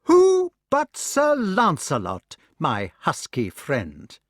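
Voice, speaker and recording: A man voicing a pale, bitter young knight who speaks slowly, tonelessly and with mockery. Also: fairly high pitch, huge pitch swings, no room sound, clean studio sound, voice pushed up, exaggerated voice. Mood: mocking. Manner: toneless, slow and sneering.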